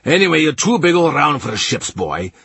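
Guy doing a loud mocking innkeeper, coarse, pushed up high, husky. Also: very animated, fairly quick, no room sound, exaggerated voice. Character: loud bullying middle-aged innkeeper man. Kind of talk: audiobook character voice.

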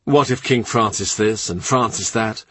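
A man's voice: on the low side, moderate pace, fairly bright tone, breathy edge, no echo. A man voicing a middle-aged king, blunt and boastful, with a scornful, brooding manner, in a storybook reading.

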